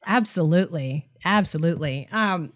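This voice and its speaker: A woman hosting a podcast, interviewing her new co-host in a lively, laughing manner. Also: lowish voice, normal speed.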